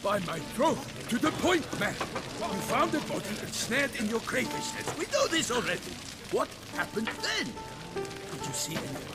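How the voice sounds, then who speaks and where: An actor playing a haughty, theatrical knight in a fantasy video game, with a florid, exclamatory way of talking. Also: male voice, very high voice, big pitch swings, normal speed. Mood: impatient. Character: excitable, haughty male knight, theatrical and indignant. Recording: loud music behind the voice. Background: loud game music.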